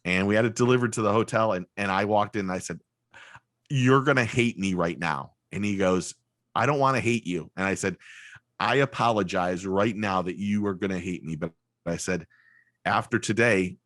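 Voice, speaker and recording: A middle-aged man, a guest telling rambling, animated stories through a compressed studio microphone. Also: lowish voice, moderate pace, dry recording. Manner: rambling, animated storyteller, many restarts. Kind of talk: casual chat, storytelling.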